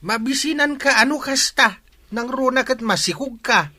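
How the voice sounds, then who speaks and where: A man's voice: very high voice, lively intonation, normal speed, sharp tone, full character voice. A man playing a concerned, practical middle-aged village father in a radio drama, insistent, with an Ilocano accent. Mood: concerned urging.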